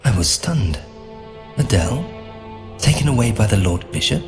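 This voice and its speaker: A man's voice: medium pitch, lively intonation, moderate pace, music in the background, natural voice. A man playing an earnest, sombre knight who narrates his own quest in a scripted game cutscene.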